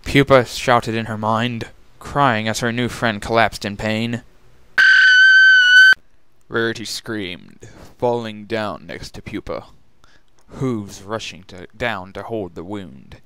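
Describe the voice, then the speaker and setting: A man's voice: on the low side, slow, background noise. A man narrating a scripted story as a dramatic reading. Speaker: narrator and reader.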